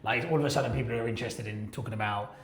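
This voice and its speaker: A man's voice: medium pitch, racing. A man in a casual podcast discussion, speaking informally and with opinion, acting out remarks he quotes. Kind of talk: casual industry chat. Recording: echoey room sound.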